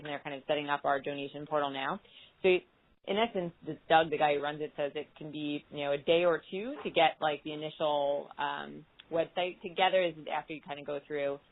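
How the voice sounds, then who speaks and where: Woman, quite low pitch, conversational, wordy, informal work account, thin conference-call sound. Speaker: corporate philanthropy staffer on a call. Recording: muffled, band-limited conference call line.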